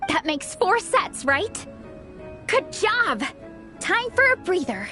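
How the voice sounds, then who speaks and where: Perky, high-voiced young adventurer. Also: female voice, big pitch swings, takes it slowly, bright, forceful tone, faint music behind, heavily acted. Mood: cheerful, encouraging. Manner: perky, encouraging. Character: upbeat, encouraging young female adventurer, eager team captain. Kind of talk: video game voice acting.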